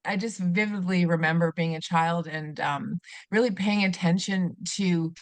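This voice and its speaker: A woman's voice: fairly low pitch, moderate pace, no echo. A middle-aged woman from Canada, a former teacher, speaking earnestly as a podcast guest and often restarting her sentences. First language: German. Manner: earnest, reflective, many restarts and fillers. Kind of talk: conversational interview.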